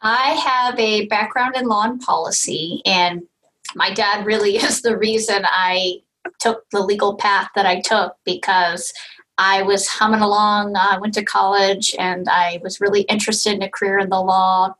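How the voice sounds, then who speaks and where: A woman's voice: medium pitch, fairly even intonation, measured pace, fairly bright tone, low-quality recording. A woman panelist with a law and policy background, telling her story fluently. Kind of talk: panel discussion answer.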